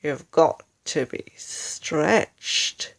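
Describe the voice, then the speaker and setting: A woman voicing a mean, sly husband in a storybook, with mock-solemn, drawn-out menace. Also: low voice, vocal fry, pitched below the performer's own voice, exaggerated voice. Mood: grimly bossy. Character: sly, mean husband feigning solemn concern, ghoulishly gleeful.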